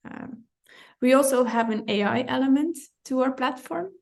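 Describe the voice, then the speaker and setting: A woman from Belgium, a compliance director, talking through her work in a relaxed interview, often pausing and correcting herself with small laughs. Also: high-pitched, vocal fry. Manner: thoughtful, self-correcting, light laughs. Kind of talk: conversational interview.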